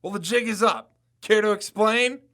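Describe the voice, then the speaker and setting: Man acting a brash, bright-voiced young detective. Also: high-pitched, moderate pace. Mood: accusing. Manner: accusatory, pointed questioning. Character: brash, suspicious young male amateur detective. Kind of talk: scripted audio drama acting.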